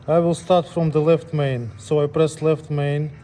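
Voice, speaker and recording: Higher-voiced man giving step-by-step instructions, mellow tone. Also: level tone, a little slow, a little hiss or hum. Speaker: scale technician demonstrating calibration. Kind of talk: hands-on tutorial, unscripted step-by-step instructions.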